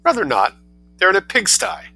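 A man's voice: sits high, big pitch swings, moderate pace, creaky, pushed and bright, husky, pitched above the performer's own voice, full character voice. A man voicing a scheming little boy who sounds sweet but speaks in a cheeky, matter-of-fact way. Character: cunning angelic-seeming little boy.

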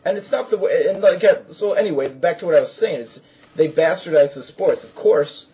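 A man with a husky voice giving opinions in casual, coarse and blunt live talk. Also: a little high, brisk. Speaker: talk show participant. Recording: dull lo-fi audio.

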